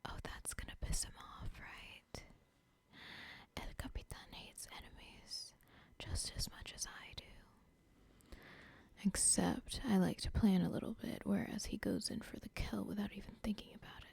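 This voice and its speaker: A woman's voice: medium pitch, fairly even intonation, a little mellow, full character voice. A woman whispering as a scheming cartel boss. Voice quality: breathy whisper. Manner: slow, close whispering, plotting aloud. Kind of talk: ASMR roleplay.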